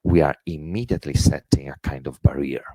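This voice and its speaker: A man's voice: extremely low pitch, brisk, warm tone, dry recording. A middle-aged man from Italy with an Italian accent, giving an earnest webinar lecture with many fillers and restarts. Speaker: intercultural education presenter.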